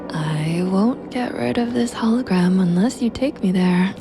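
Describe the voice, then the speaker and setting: A woman's voice: medium pitch, measured pace, over music, natural voice. An actress playing a teasing, wistful woman in a noir video game, speaking in a playful, intimate way. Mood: wistful, coaxing.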